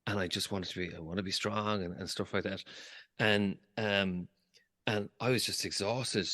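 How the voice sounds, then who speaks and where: A man with a lowish voice hosts the interview, his words ringing with a long reverb. He asks questions gently and drops quiet when telling his own story. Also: steady tone, moderate pace. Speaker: podcast host.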